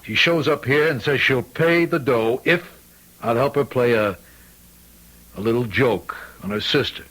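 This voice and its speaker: An actor playing a gruff, shady restaurant owner in an old-time radio mystery, slangy and defensive. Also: male voice, medium pitch, measured pace, fairly bright tone, low-quality recording. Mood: grudging.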